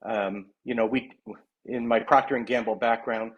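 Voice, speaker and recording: A man presenting his project and interviewing a guest over a video call, talking haltingly with many fillers and restarts. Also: mid-range voice, steady tone, dull audio.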